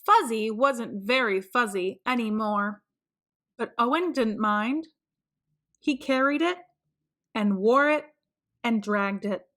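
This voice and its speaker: Woman reading a picture book to kids, slow and expressive, higher bright voice. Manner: slow, expressive, sing-song. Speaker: children's book reader. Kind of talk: read-aloud storytelling.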